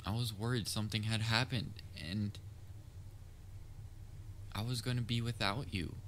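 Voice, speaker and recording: A man playing a theatrical supervillain who is soft-hearted and anxiously fond of his heroic nemesis, in an earnest, halting roleplay delivery with wry banter. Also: lowish voice, steady tone, slight background noise. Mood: hurt, earnest. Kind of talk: comfort roleplay audio acting addressed to listener.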